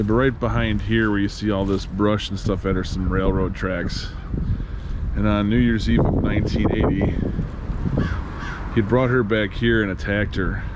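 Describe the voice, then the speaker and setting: Man, lowish voice, informal tour guide, matter-of-fact, very noisy wind and vehicles. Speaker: host, true crime tour guide. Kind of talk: on-location vlog narration. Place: on location with a camera. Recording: loud outdoor noise, wind and traffic. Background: wind and vehicle noise.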